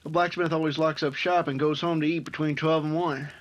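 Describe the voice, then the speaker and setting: An actor playing a sly horse thief of the old north in a radio adventure drama. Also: male voice, fairly high pitch, level tone, fairly quick, muffled recording, lightly acted. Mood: sly, eager.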